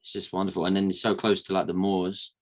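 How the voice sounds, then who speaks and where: Low-voiced relaxed man chatting. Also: fast talker. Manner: relaxed and chatty, with easy enthusiasm. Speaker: actor and podcast guest. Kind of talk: casual chat.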